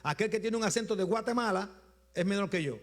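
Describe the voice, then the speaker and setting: A man with a Puerto Rican accent preaching a Sunday sermon in a rhythmic style, repeating phrases and letting his voice drop low at the end of each point. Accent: Puerto Rican Spanish. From Puerto Rico. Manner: rhythmic repetition, drops low at phrase ends, slows for emphasis. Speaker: bishop preaching.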